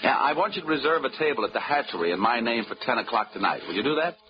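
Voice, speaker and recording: A man's voice: mid-range voice, animated, very fast, background noise, lightly acted. A man playing a breezy, wisecracking insurance investigator in a 1940s radio drama. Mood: smooth. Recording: dull old radio recording with music.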